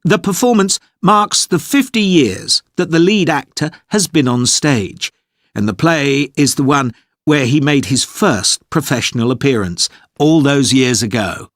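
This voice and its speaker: A man playing an organised, courteous tour leader, giving a measured, clearly explained scripted briefing for a listening test. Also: mid-range voice, animated, dry recording, studio quality. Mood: polite, informative. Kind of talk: scripted informational monologue for an English listening test.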